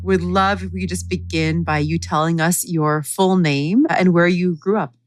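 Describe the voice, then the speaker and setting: Calm woman podcast host. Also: fairly low pitch, moderate pace, soft background music. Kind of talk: scripted intro and interview questions.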